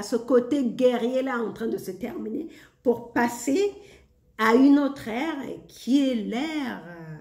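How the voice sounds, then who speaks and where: A woman's voice: medium pitch, creaky, a little mellow, roomy sound. A woman vlogger talking casually and reflectively to her viewers, hesitant with many fillers and frequent laughs. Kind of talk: casual spiritual monologue.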